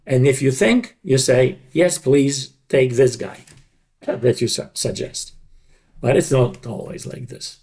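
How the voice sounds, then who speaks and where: A man's voice: medium pitch, slow pace, a little mellow. A middle-aged man giving conversational interview answers in an emphatic, explanatory manner, often repeating short phrases. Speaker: interview guest, dubbing creative director.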